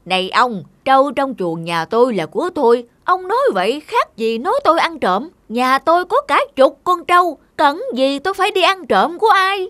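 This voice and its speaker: A man's voice: extremely high pitch, big pitch swings, moderate pace, hardly any pauses, pushed and bright, dry recording, exaggerated voice. A man playing a sly, blustering middle-aged buffalo thief in a cartoon folk tale. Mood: brazen, indignant. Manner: brazen, boastful, argumentative.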